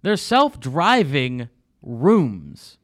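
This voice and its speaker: A man in casual chat, explaining things with quick stammering restarts. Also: medium pitch, wildly swooping pitch.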